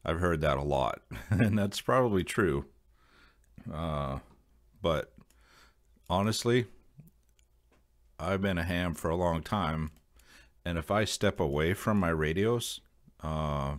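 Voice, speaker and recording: A man with a very deep, low-pitched voice hosts an informal explainer vlog. He addresses viewers directly in a casual, rambling style. His delivery is halting, as if working out each point while he talks. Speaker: video host.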